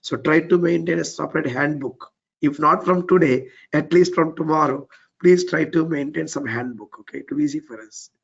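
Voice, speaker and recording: Man, Indian accent, fairly high voice, worn and weak, repetitive teacher, lo-fi sound. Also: moderate pace, creaky, husky. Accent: Indian English. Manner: repetitive, keeps saying "let's get started, step by step". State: voice a bit weak and low from overuse. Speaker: trainer. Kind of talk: live online technical class.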